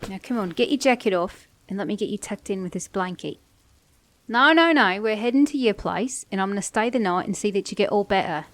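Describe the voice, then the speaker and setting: A woman's voice: mid-range voice, animated, brisk, natural voice. A woman with an Australian or British accent playing a sassy, caring mechanic girlfriend in an ASMR roleplay, speaking emphatically and affectionately to a partner. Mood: fussing, caring. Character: sassy, caring female mechanic girlfriend, scolding then tender. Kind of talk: ASMR roleplay audio drama.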